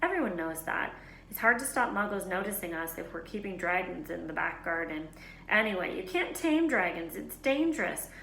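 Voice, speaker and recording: A woman's voice: lowish voice, lively intonation, moderate pace, gravelly, fairly bright tone, echoey room, slight background noise, rough recording. A woman voicing a casual, sceptical schoolboy who talks bluntly in a storybook read-aloud. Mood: matter-of-fact.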